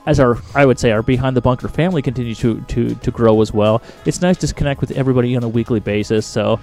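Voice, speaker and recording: A man's voice: medium pitch, fast, darkish tone, soft background music. A man co-hosting a podcast in casual chat, rambling with frequent restarts and jokes. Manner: rambling, restarts often, jokey. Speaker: podcast co-host. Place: small podcast studio.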